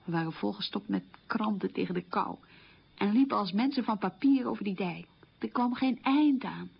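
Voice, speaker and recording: A woman playing a resilient survivor of childhood poverty, recounting memories in a plain, unhurried monologue for radio. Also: mid-range voice, animated, gravelly, husky. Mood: matter-of-fact. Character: resilient woman recalling a poor, hungry wartime childhood. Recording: dull, lo-fi radio recording.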